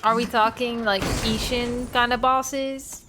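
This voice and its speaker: Lady streamer chatting with chat, playful, slightly high voice. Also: fairly even intonation, slow, background noise. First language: Dutch. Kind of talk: live gameplay commentary.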